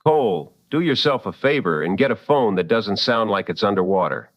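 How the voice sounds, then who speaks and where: A veteran host of a late-night paranormal show in a radio drama. His voice is fairly low and sits dry and close. He talks deliberately, firm and wry, protective of his listeners. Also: expressive, dry recording, muffled recording. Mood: wry, attentive.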